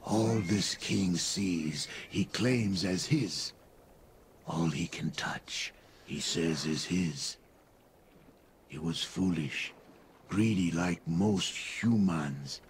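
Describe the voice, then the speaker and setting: An actor playing a grave, primal lizard-man of the marsh in a video game, speaking solemnly in halting broken English with breathy pauses. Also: low-pitched, a little hiss or hum. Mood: solemn storytelling. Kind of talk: video game character dialogue. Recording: game dialogue over music. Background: music.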